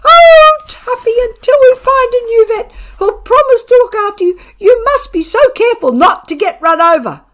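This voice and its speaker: A woman voicing a soft-hearted, tearful little girl, wailing and pleading earnestly in a children's audiobook. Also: extremely high pitch, animated, normal speed, few pauses, voice pushed up.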